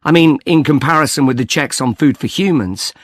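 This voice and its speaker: A man's voice: a little high, brisk, no echo, clean studio sound, natural voice. An actor playing a young male student in a scripted discussion about his course. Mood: engaged, opinionated. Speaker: actor in a listening test dialogue.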